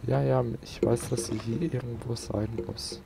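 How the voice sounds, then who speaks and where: A man's voice: medium pitch, steady tone, normal speed, gravelly, noisy background. A man giving casual gameplay commentary, grumbling and talking to himself. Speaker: let's player. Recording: game sounds and ambience behind.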